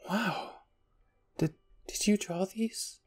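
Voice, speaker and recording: Casting: man playing kind young female anime soldier, curious and encouraging, raised pitch, soft husky tone, faint music. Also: slow pace. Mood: curious, friendly. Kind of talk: anime fan fiction roleplay reading.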